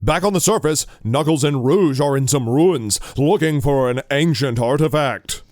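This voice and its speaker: A man's voice: on the high side, normal speed, darkish tone, no echo, clean studio sound. A man reading scripted narration for an audio drama as its narrator.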